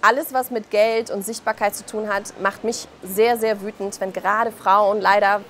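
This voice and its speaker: A woman in her thirties, originally from Belarus, answers interview questions with passion. Her voice sits at an average female pitch. She talks emphatically and colloquially, often slipping into quoted remarks to make her point. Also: steady tone, moderate pace, a bit bright, slight background noise. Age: 30s. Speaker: interview guest, author and journalist. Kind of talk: impassioned spoken interview answers.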